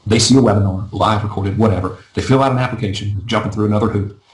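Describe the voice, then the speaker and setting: A man coaching a live webinar in a chatty, self-deprecating way, his voice going a little hoarse. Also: on the low side, steady tone, roomy sound, poor audio. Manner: fast, chatty, self-deprecating, often asks "right?". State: losing his voice. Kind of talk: casual live webinar teaching.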